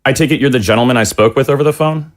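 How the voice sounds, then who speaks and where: A man's voice: mid-range voice, very fast, lightly acted. A man playing an earnest, plain-spoken father searching for his son in an audio drama. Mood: wary.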